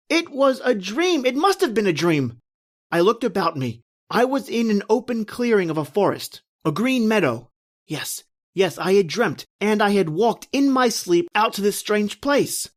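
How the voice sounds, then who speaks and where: A woman playing a panicked, bewildered husband lost in a nightmare, with halting, theatrical delivery in an old-style horror radio drama. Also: medium pitch, very animated. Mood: confused, breathless dread. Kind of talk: dramatic first-person horror radio narration and acting.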